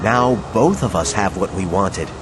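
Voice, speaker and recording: A man's voice: low voice, measured pace, noisy background. A man playing a scholarly adept who can turn fierce, in a video game. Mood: calm, wise. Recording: loud music behind the voice.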